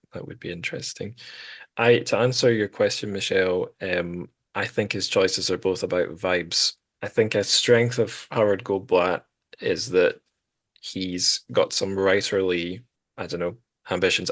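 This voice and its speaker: A man hosting a podcast, talking casually and thoughtfully about literature in tentative phrases. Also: sits low. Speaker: podcast host.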